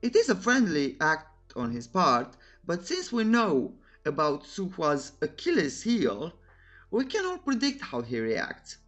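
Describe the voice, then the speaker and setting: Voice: medium pitch, huge pitch swings, measured pace, fairly bright tone, faint music behind. A narrator reading a scripted comic recap in a playful, teasing, theatrical way, with humorous asides.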